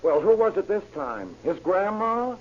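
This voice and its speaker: An actor playing a brash, needling newspaper reporter in an old radio drama. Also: male voice, sits high, very animated, a little slow. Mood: prying. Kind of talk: 1940s-50s American radio drama acting. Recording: muffled, noisy old radio recording.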